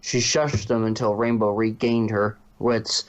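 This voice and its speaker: A man's voice: lowish voice, level tone, measured pace. A man reading a story aloud as its narrator. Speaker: fan fiction reader.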